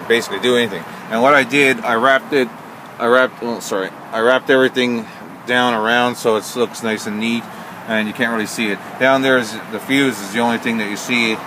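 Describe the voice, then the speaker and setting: A man giving a casual, unscripted walkthrough as an amateur vlogger, speaking haltingly with frequent pauses. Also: medium pitch. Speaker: amateur vlogger showing an installation. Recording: clear car and outdoor background noise.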